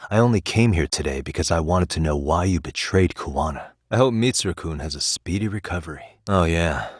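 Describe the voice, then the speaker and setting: Sharp american detective in a video game, really low voice, dry and wry, close dead-room sound. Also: male voice, lively intonation, fairly quick, slightly rough, no room sound. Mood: serious, determined. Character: sharp, easygoing, principled male detective. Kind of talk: video game voice acting, conversational dialogue.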